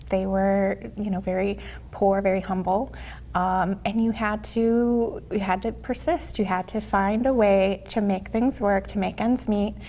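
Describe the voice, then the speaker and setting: A Mexican-born woman with an American accent and Spanish as her first language, telling her story in a halting, reflective way as an interview guest. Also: mid-range voice, a little mellow. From born in Mexico City, raised in the United States. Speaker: interview guest, TV show host.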